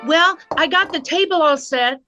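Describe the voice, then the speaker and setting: Very high-voiced sitcom daughter. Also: female voice, moderate pace, bright, forceful tone, dry recording, faint music behind. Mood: eager, polite. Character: sweet, helpful young daughter. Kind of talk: old-time radio comedy acting. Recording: noisy, clicky background.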